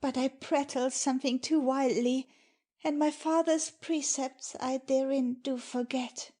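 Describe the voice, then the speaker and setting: A woman plays a tender young maiden in a Shakespeare audiobook. Her voice is high and soft-edged, mellow in tone, and she takes the verse slowly and earnestly, with innocent devotion. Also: steady tone. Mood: shy, earnest. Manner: slow, earnest delivery of verse. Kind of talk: dramatic Shakespeare audiobook reading.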